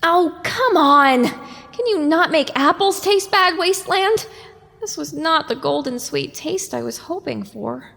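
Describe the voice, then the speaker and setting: A woman's voice: high-pitched, expressive, unhurried, creaky, fairly bright tone, exaggerated voice. A woman voicing a young, self-conscious little pony filly with wry, exasperated muttering in an audio drama, with echoing reverb.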